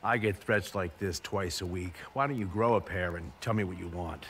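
An actor playing a brusque, self-important city mayor in a video game cutscene. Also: male voice, sits low, moderate pace, long reverb tail, noisy background. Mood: irritated. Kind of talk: formal ceremony speech and curt phone talk in a game cutscene.

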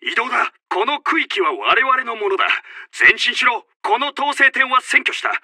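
An actor playing a commanding, urgent Japanese army officer who barks out short battle announcements in a military video game. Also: male voice, extremely high pitch, big pitch swings, normal speed, bright, forceful tone, husky, no room sound, studio quality, exaggerated voice. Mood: commanding, proud.

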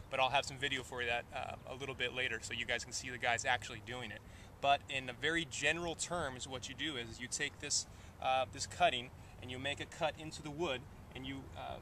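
Plainspoken man teaching in a vineyard. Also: medium pitch. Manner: relaxed, plainspoken, with frequent "uh" and "um". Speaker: vineyard owner presenting a field video. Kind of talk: casual instructional talk. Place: outdoors in a vineyard. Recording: outdoor field recording with background noise.

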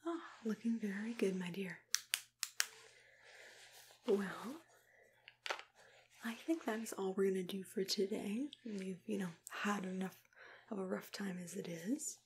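A young woman doing an ASMR roleplay as a caring dentist, mostly whispering in a gentle, reassuring way, close to the microphone. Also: mid-range voice, measured pace, pauses often, background noise. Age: young adult. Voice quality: breathy, hushed, mostly whispered. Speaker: ASMR creator playing a dentist.

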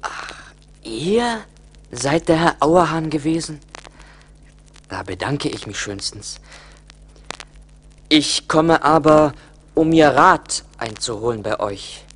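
An actor playing a poor, respectful young charcoal burner in a radio play, speaking earnestly and plaintively. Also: male voice, on the high side, very animated, takes it slowly, long pauses, fairly bright tone, slightly rough, exaggerated voice. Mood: humble, pleading. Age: young adult. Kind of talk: 1980s German radio play acting.

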